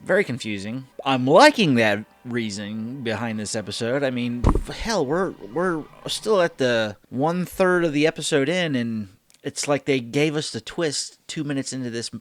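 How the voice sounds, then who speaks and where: A man's voice: medium pitch, expressive, moderate pace, few pauses, soft background music. A man in his thirties hosting a reaction video, commenting casually as he watches a show. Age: 30s.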